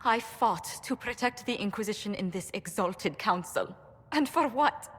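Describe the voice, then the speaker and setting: An actress playing an indignant, principled diplomat in a fantasy game, speaking formally and pointedly. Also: female voice, medium pitch, lively intonation, normal speed, fairly bright tone, husky. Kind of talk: dramatic fantasy video game acting. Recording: long hall reverb.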